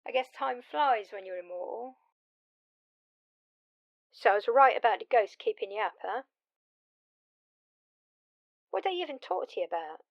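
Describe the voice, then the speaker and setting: A woman's voice: fairly high pitch, lively intonation, moderate pace, gravelly, pauses often, lo-fi sound. A woman playing a blunt, wry immortal vampire mentor in a one-sided audio drama, conversational and teasing in her delivery. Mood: curious, teasing.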